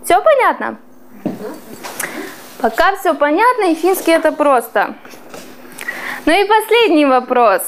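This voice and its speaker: High, lively woman teaching slowly. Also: a little hiss or hum. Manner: slow, clearly drawn-out pronunciation of foreign words. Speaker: language teacher. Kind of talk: classroom teaching. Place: small classroom with whiteboard.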